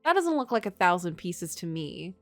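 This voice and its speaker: A woman's voice: on the low side, very animated, moderate pace, faint music behind. A woman streaming a game, reading story text expressively and adding casual, joking reactions.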